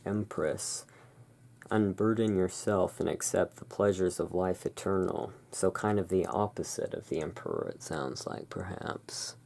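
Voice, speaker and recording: A person playing the soft-spoken, musing owner of a gothic curiosity emporium in a halting, hushed ASMR roleplay. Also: sits low, creaky, full character voice. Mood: musing, relaxed.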